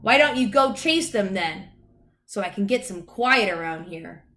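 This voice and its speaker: A woman's voice: lowish voice, flat delivery, a little slow, close to an everyday voice. A woman voicing a small, proud, grumpy male leprechaun in a children's story, blustering and indignant. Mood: irritated.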